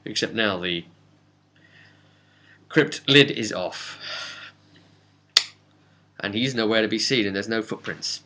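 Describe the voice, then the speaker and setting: A man recapping a tabletop game as game master, telling it casually with dramatic, halting pauses. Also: lowish voice, noisy background.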